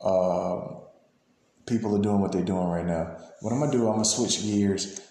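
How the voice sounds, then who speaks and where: A man in his thirties hosting a casual interview, halting and repeating words as he builds his questions. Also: lowish voice, mellow. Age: 30s. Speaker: podcast host interviewing. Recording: very echoey room.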